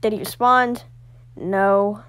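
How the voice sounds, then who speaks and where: A woman voicing amateur character dialogue for an animated story. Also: medium pitch, slow pace. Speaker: creator voicing all characters of an animated series.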